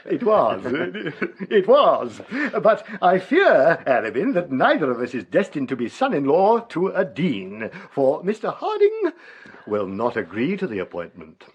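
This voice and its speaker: An English actor playing a hearty, worldly, self-satisfied archdeacon in a radio drama, husky, pompous and jovial. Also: male voice, a little high, huge pitch swings, unhurried, highs cut off, exaggerated voice. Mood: amused, exasperated.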